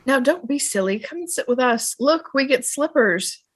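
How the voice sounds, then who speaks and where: A woman's voice: sits high, animated, normal speed. A woman playing a chatty, placating mother on a trip, in a fan table read. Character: chatty, placating middle-aged mother passenger. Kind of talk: fan table read over video call.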